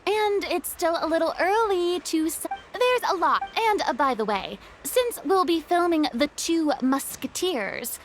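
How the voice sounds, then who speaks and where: A woman's voice: very high-pitched, expressive, measured pace, hardly any pauses, a little hiss or hum. A woman voicing a proud, theatrical young woman in a video game, with grand, self-important phrasing. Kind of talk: video game character voice acting.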